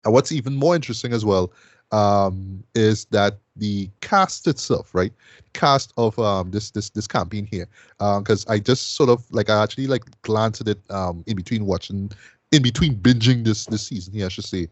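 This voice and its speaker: A man's voice: lowish voice, normal speed, no room sound. A man from Trinidad, casually reviewing a show as a podcast host, rambling with lots of fillers and "right?" tags.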